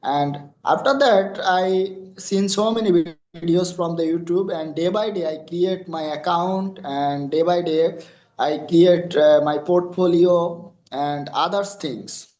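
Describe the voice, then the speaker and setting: Bangladeshi man, Bengali native, guest answering questions; halting English, slow and deliberate, slightly high voice, echoey room. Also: a little mellow, muffled recording. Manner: halting non-native English with many fillers. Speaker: freelancer guest. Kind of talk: interview answers.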